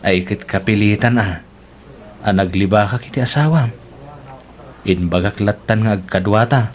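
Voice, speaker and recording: A man's voice: lowish voice, moderate pace, close to an everyday voice. A man with a Filipino accent acting a concerned, plain-spoken friend in an audio drama, frank and admonishing. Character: concerned, plain-spoken male friend warning his buddy.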